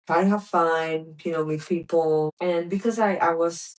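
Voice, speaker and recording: A woman's voice: on the low side, steady tone, moderate pace, low-quality recording. A young woman from Greece, hosting a podcast and telling a personal story in a candid, emphatic way, repeating words for stress. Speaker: podcast host.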